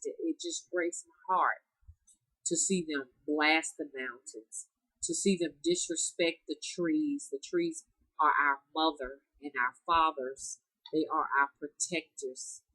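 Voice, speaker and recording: A woman's voice: deep voice, bright, forceful tone, breathy edge, dry recording, low-quality recording. A woman, chatting casually to her viewers in a folksy way, her voice nasal and congested from sinus trouble. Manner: folksy, calls herself Mama, addresses viewers as y'all. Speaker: spiritual practitioner vlogger.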